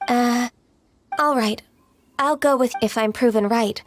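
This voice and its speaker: A woman voicing a gentle, earnest, youthful immortal in a video game, polite and hesitant in manner. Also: fairly high pitch, unhurried, very noisy, close to an everyday voice. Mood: hesitant, dejected. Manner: polite, hesitant, soft-spoken explaining. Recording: music under the voice.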